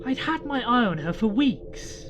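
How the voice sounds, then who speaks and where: A woman's voice: on the high side, very animated, unhurried. A woman playing an aggrieved, ordinary human traveller, peevish and insistent, in an amateur sci-fi audio comedy. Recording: background noise behind the voice.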